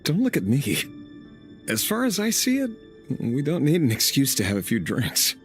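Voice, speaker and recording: An actor playing a reflective, dryly wry man in love in a video game, speaking in a measured, confiding way. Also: mid-range voice, wildly swooping pitch, faint music behind. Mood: jovial, bashful. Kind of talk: video game cutscene voice acting.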